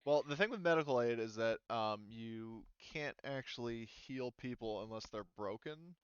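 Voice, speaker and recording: A man running a tabletop game as game master, talking casually and haltingly as he thinks aloud. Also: medium pitch, clean tone, dry recording, highs cut off.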